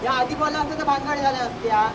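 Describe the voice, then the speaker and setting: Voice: high-pitched, fast talker, roomy sound, recorded from a distance, noisy background, natural voice. A performer playing a nitpicking village heckler who challenges and interrupts in a school stage comedy. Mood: argumentative. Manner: interrupting, challenging, repeating questions. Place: live stage at a school event. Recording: live stage with loud crowd.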